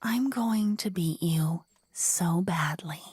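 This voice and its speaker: A woman's voice: on the low side, slow pace, darkish tone, breathy edge, no room sound, clean studio sound, heavily acted. A woman playing a spiteful, taunting rival necromancer woman in a whispery fantasy ASMR roleplay, menacing and mocking.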